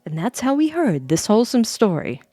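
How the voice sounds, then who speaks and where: A woman streamer reading a bedtime story in a soft ASMR style, close to the microphone, with playful, rambling asides to her viewers. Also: medium pitch, sing-song, fairly quick, dry recording.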